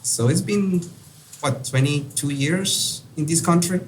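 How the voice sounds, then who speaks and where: A man in his forties from Peru, with a Peruvian Spanish accent, talking as a podcast guest with many fillers and pauses. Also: a little high, a little hiss or hum, rough recording. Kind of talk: casual interview talk.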